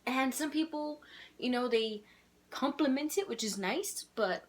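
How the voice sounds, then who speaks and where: A young woman with a childish-sounding voice, talking candidly and rambling in a casual video letter to her twin, laughing softly at herself. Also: high-pitched, a little slow. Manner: candid, rambling, self-deprecating with frequent soft laughs. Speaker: vlogger.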